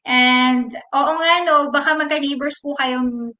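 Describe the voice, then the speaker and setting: Bright-voiced Filipino woman vlogger. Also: sits high, steady tone, a little slow, roomy sound. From Philippines. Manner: friendly, reads viewer comments aloud, explains at length. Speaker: vlogger answering viewer questions live. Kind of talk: casual live Q&A, switching between English and Tagalog.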